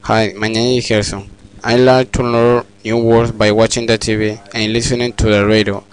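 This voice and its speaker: A man who is an adult English learner, reading his thoughts aloud in a steady, earnest way. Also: on the low side, moderate pace, clean tone, poor audio.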